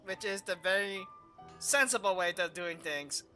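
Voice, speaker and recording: A man's voice: sits high, moderate pace, pushed and bright, soft background music. A man giving casual commentary as he streams a game playthrough.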